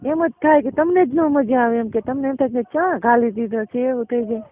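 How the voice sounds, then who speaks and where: A woman's voice: a little high, a little fast, no echo. A woman with a Gujarati accent chatting casually and colloquially, explaining things and often checking that the listener follows. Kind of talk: casual private phone chat. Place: recorded phone call. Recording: muffled narrowband phone call recording.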